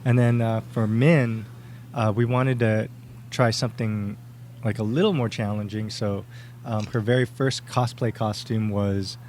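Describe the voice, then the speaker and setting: A man's voice: on the low side, steady tone, a little slow, a little mellow, slight background noise. A young man chatting casually as a podcast guest, rambling with many fillers and easy laughs. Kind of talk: casual interview chat.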